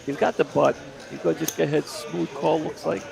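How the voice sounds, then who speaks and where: A man's voice: a little high, flat delivery, brisk, vocal fry, a crowd in the background. A middle-aged man from Delaware doing casual poker commentary, chatty and repeating himself as he guesses at hands. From Delaware, USA.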